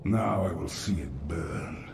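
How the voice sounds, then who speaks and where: Infested undead soldier, cold and vengeful, low voice, roomy noisy game audio. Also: male voice, expressive, gravelly, heavily acted. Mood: grim. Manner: measured, grim, formal.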